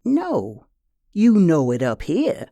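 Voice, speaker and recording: A woman's voice: on the low side, huge pitch swings, warm tone, no echo, full character voice. A woman playing a stern, knowing older mother in an audio drama, speaking plainly and firmly. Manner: measured, plainspoken, firm.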